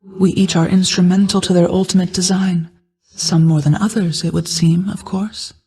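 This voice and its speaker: A woman voicing an ancient, stately goddess of night in a video game, a stern but caring mother who speaks in a formal, measured, regal way. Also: lowish voice, little pitch movement, dark tone, slightly rough. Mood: solemn, knowing.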